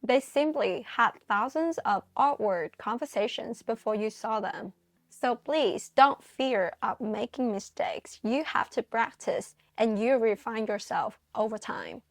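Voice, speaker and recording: Young woman, english not her first language, fairly high voice, encouraging advice vlog, dry close mic. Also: measured pace, no echo. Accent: non-native English. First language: non-English, learned English at school. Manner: earnest, encouraging, direct to viewer. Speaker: vlogger giving advice to migrants. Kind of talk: scripted advice monologue.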